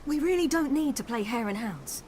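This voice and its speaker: An actress playing a headstrong young warrior woman in a video game, spirited and articulate, quick to challenge. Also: high voice, expressive, background noise. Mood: impatient. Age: young adult. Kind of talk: cinematic video game acting.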